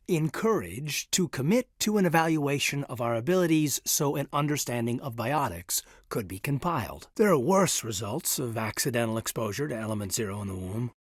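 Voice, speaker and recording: An actor playing an earnest, guarded military officer in a video game, with a husky voice and a respectful, formal manner. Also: male voice, mid-range voice, dry recording, studio quality. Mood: explaining, serious. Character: earnest, guarded young soldier with biotic powers, a loyal officer.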